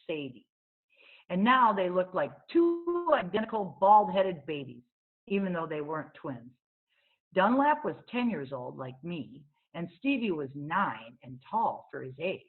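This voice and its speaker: A woman reading a children's book aloud as an expressive storyteller, on a thin, phone-like recording. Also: lowish voice. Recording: thin, phone-like narrow-band sound.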